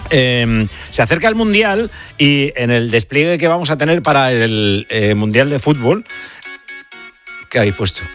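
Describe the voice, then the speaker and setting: A male sports radio host who talks in a loose, easygoing way and fills his pauses with "eh" and restarts. His voice sits at a middling pitch. A faint music bed plays under him in the studio. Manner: relaxed, joking, with many fillers. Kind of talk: casual radio chat.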